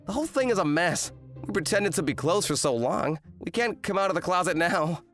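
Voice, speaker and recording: A man's voice: sits high, lively intonation, a little fast, a bit bright, soft background music. A man playing an exasperated eighteen-year-old student in a manga rom-com dub, complaining and narrating with indignant drama. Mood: wry narrating. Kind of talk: manga dub acting and first-person narration.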